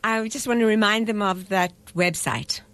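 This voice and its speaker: A middle-aged woman from South Africa, a festival director interviewed on radio, speaking in a measured, articulate way. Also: medium pitch, fairly bright tone, no room sound. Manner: measured, articulate, spells words out carefully.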